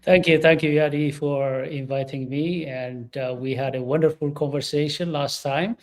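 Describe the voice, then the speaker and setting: A man's voice: a little high, steady tone, moderate pace. A middle-aged man from Ethiopia, a leadership coach speaking as an interview guest, earnest and emphatic.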